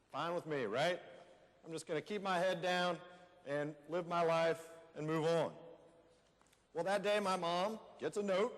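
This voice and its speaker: A high-pitched male American voice in a reverberant hall, an actor playing an aggrieved, plainspoken man on stage. He tells his own story conversationally, landing short phrases with emphasis. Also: lightly acted. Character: aggrieved plainspoken man recalling his wrongful jailing as a teenager. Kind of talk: readers theater monologue to an audience.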